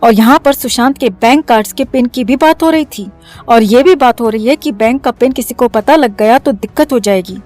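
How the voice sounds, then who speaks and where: A woman narrates a scripted news commentary in a high-pitched voice. Faint music plays underneath her as she delivers the voice-over. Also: brisk, a little mellow. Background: background music.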